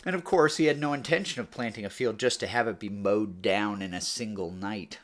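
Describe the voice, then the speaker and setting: Male audiobook-style narrator, fairly low pitch, measured storytelling delivery with falling phrase endings. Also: a little hiss or hum. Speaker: author or reader narrating a book read-aloud.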